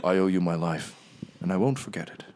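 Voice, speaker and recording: A man voicing a wounded, dignified male starship captain in a tabletop game, speaking gravely and quietly. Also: sits low, animated, a little fast, slight background noise. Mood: solemn.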